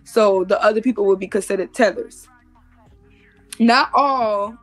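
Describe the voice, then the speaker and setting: A woman's voice: medium pitch, measured pace, faint music behind. A woman in her thirties, joining a live stream over a video call and explaining things emphatically, often repeating herself. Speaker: guest.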